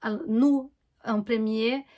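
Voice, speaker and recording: A woman talks casually to camera. She speaks earnestly and haltingly, often repeating words as she gathers her thoughts. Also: on the high side, steady tone, mellow. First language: Portuguese. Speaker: astrologer.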